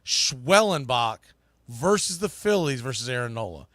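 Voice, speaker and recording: Bright, punchy American sports host. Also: male voice, medium pitch, big pitch swings, moderate pace, no echo, clean studio sound. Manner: emphatic, opinionated, folksy. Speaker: baseball podcast host and broadcaster. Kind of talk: off-the-cuff sports commentary.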